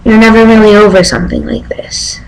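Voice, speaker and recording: A woman's voice: medium pitch, little pitch movement, brisk, close to an everyday voice. A young woman performing a confessional stage monologue as an earnest, wounded therapist and survivor. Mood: pained, reflective. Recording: noisy webcam microphone with room noise.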